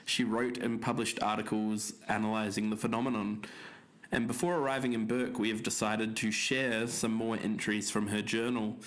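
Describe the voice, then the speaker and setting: A man's voice: lowish voice, flat delivery, moderate pace, a bit bright, close to an everyday voice. A man playing a podcast investigator and believer, earnest and slightly hesitant as he discusses and reads out notes. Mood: earnest, insistent. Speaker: podcast co-host. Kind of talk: podcast discussion and journal reading.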